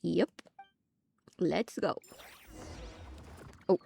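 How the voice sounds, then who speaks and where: A woman giving casual commentary on a game playthrough, thinking aloud in a halting way. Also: fairly low pitch, gravelly, mellow, husky, no room sound. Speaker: gaming streamer. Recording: game music behind the voice.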